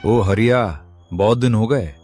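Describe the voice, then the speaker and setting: A man voices a landlord's henchman in a cartoon moral tale. He has a low voice and a threatening, demanding way of talking. Music plays clearly behind him. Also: a little slow, natural voice. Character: menacing landlord's debt-collecting henchman. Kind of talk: Hindi cartoon moral-story dialogue.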